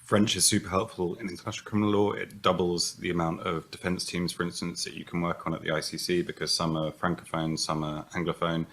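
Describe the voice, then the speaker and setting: A man's voice: low-pitched, flat delivery, fast, hardly any pauses, fairly bright tone, slight background noise. A man, a barrister on a career panel, giving candid advice with hesitant restarts that settle into fluent explanation.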